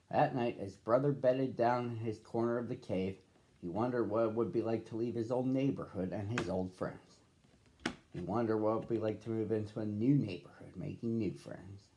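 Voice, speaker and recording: A man reading a picture book aloud to children as a storyteller, with expressive phrasing and drawn-out words. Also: fairly low pitch. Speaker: storybook reader.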